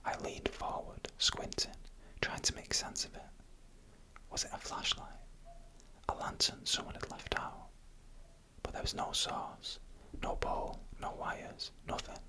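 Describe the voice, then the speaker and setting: A person narrating eerie stories as ASMR in a hushed, deliberate whisper, close to the microphone. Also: poor audio. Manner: slow, hushed, deliberate storytelling in an unvoiced whisper. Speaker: ASMR storyteller.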